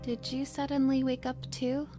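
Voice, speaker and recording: Newly woken angel for a visual novel played by a woman: wondering, sincere, devoted, slightly high, clear music bed. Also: little pitch movement, normal speed, natural voice. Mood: earnest. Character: newly awakened female angel, dreamy, devoted defender. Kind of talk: scripted visual novel voice acting.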